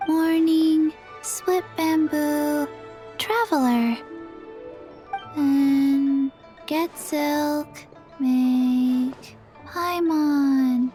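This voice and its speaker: A woman voicing a small, forgetful undead girl in a video game, with a soft childlike voice and a halting, deadpan delivery. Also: high voice. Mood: sleepy, flat. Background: music.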